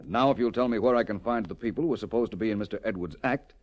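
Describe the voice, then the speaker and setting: A man's voice: on the low side, very fast, dull audio, heavy background noise, close to an everyday voice. An actor playing an urbane, self-assured male detective, polished and wry, in 1940s radio style.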